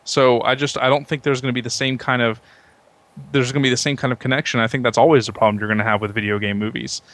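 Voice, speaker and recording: A man's voice: mid-range voice, fairly even intonation, quick pace, a bit bright, no room sound. Man hosting casually, dry close sound. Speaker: podcast host moderating a discussion. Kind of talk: casual group discussion.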